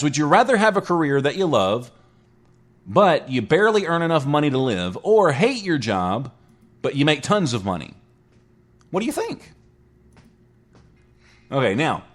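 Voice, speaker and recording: A man in his forties hosting a casual solo talk show, chatty and rambling, joking at his own expense. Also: medium pitch. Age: 40s. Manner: chatty, rambling, self-mocking. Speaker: internet radio show host.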